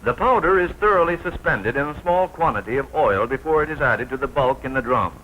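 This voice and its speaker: An American man narrating a 1940s military training film from a script, formal and precise, with clearly enunciated announcer delivery. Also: mid-range voice, animated, brisk, fairly bright tone. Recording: noisy 1940s film soundtrack.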